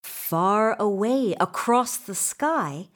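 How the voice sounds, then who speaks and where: A woman narrates a children's tale at a very unhurried pace. Her pitch moves animatedly as she leans on key words for drama. The delivery is deliberate and expressive, made for storytelling.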